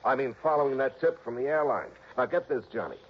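An actor playing a blunt, excitable police lieutenant in an old radio drama. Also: male voice, mid-range voice, fast talker, close to an everyday voice. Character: gruff, worried male police lieutenant. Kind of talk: 1940s radio acting. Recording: dull old radio broadcast with faint hiss.

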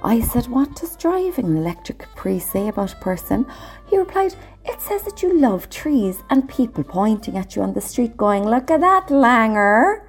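A woman playing a sharp-tongued Irish agony aunt in a scripted comic advice column, sardonic and wisecracking. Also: fairly high pitch, big pitch swings, normal speed, soft background music. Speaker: agony aunt answering letters.

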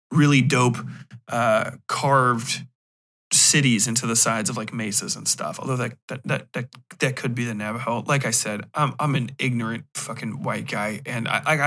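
An American man hosts a podcast in a loose, joking ramble. His voice sits at an average male pitch with a sharp, forceful brightness. He swears freely, chuckles and wanders off on tangents. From United States, Ohio. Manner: profane, chuckling, digressive. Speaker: podcast host. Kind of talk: casual comedic rant, rambling talk.

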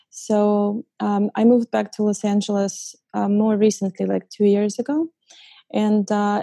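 A young woman from Ukraine speaks as a guest in a casual interview. Her voice is dark and gravelly, and her pitch stays flat. She hesitates often, with ums and small laughs. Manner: hesitant, many ums and uhs, laughs softly. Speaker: guest, short-term rental host.

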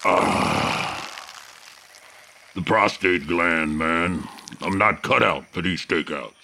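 An actor playing a grumbling, world-weary detective on a stakeout, heard over a two-way radio in an audio drama. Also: male voice, sits low, slow pace, gravelly, bright, forceful tone, slight background noise, exaggerated voice. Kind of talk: audio fiction acting.

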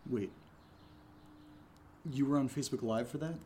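A man's voice: mid-range voice, normal speed, darkish tone, slight background noise, lightly acted. An actor playing an alarmed, impatient young man in a naturalistic horror audio drama, questioning insistently.

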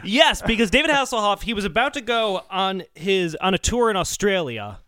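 A man co-hosting a podcast, telling researched stories in a casual, animated chat. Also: high-pitched, moderate pace, clean tone, dry recording, clean studio sound. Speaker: podcast co-host.

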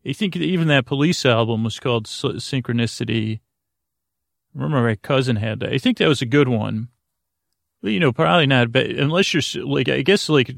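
A man hosting a bedtime podcast in a creaky voice, rambling soothingly and haltingly, often stammering and interrupting himself. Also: mid-range voice, no echo, studio quality. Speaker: host of a bedtime sleep podcast.

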